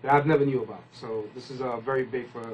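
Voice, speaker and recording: A man's voice: medium pitch, steady tone, quick pace, crowd noise, poor audio. A man hosting a casual hip-hop radio interview, rambling informally and using slang. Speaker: radio host.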